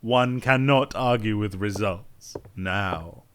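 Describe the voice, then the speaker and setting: A man playing a fawning, slow-talking duke. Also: fairly low pitch, clear, resonant tone. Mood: jovial. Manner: obsequious and flattering. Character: fawning middle-aged duke, proud father. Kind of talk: audio drama acting.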